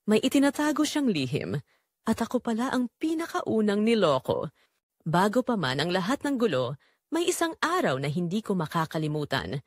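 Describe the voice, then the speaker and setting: A woman's voice: medium pitch, no echo, studio quality. A woman giving a dramatic, scripted first-person narration as the storyteller of an audio drama.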